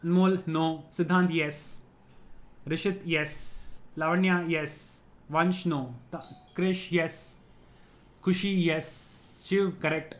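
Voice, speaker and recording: A man's voice: a little high, steady tone, slow, echoey room. A middle-aged man with an Indian English accent, a native Tamil speaker, running a live online quiz class. He repeats each question, explains terms patiently and laughs easily while answering students. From Tamil-speaking family, raised in Gujarat.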